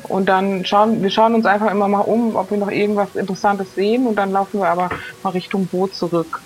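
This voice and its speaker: A woman's voice: medium pitch, fairly even intonation, fast, faint music behind, rough recording. A woman improvising as a player in an audio adventure, speaking in character and describing what she does. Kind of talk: improvised in-character role play.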